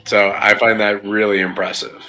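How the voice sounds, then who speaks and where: Low-voiced man, bright and forceful. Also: little pitch movement, moderate pace. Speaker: tabletop game podcast panelist. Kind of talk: casual chat.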